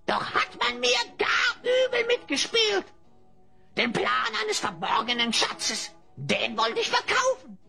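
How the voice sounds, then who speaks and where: Sly hyena man spy, super high and croaky, theatrical rhymes, fantasy audio play. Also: pushed and bright, exaggerated voice. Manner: theatrical, speaks in rhyme, cackling. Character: fawning two-faced hyena-headed man, a spy and trickster. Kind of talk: fantasy audio drama acting.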